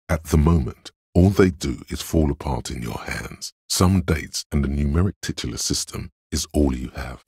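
A man voicing the cold inner voice of logic in a detective's mind for a video game, measured and analytical, with a gravelly, husky delivery. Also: extremely low pitch, darkish tone, dry recording, clean studio sound, full character voice.

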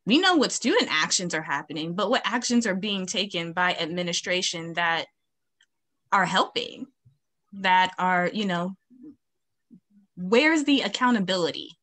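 A woman's voice: lowish voice, animated, a little slow, a bit bright. A young woman student activist speaking earnestly on a video call, with many fillers. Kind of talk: town hall video call. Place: home, virtual beach background.

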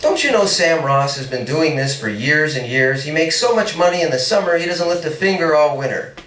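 A man's voice: on the high side, expressive, a little fast, fairly bright tone, slight background noise. A man reading a teasing lovestruck young suitor. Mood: dreamy. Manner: teasing, dreamy. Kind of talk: read-aloud story dialogue. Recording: echoey room, mic at a distance.

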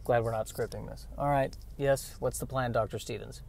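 A man playing a skeptical, reluctant temp secretary in a dry, halting, grumbling way in improvised radio comedy. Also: mid-range voice, steady tone, vocal fry, a little mellow, slight background noise, close to an everyday voice. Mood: resigned.

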